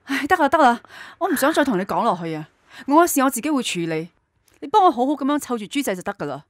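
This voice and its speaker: A woman's voice: sits high, sing-song, fast, no echo, clean studio sound. A woman playing a curt, defensive young working mother in a radio drama, speaking impatiently. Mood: angry, defensive. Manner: brusque, impatient. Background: faint music.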